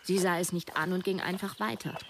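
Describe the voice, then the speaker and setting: A woman's voice: lowish voice, steady tone, brisk, gravelly, noisy background. An actress playing a self-doubting woman in her thirties, narrating her own story reflectively in a radio drama. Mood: recounting. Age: 30s. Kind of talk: scripted first-person narration. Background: music.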